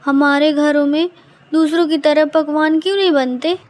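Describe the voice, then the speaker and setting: A woman's voice: high-pitched, fairly even intonation, normal speed, a little mellow, natural voice. A woman voicing an innocent, hungry ten-year-old village boy in a story, speaking in a plaintive, childlike manner.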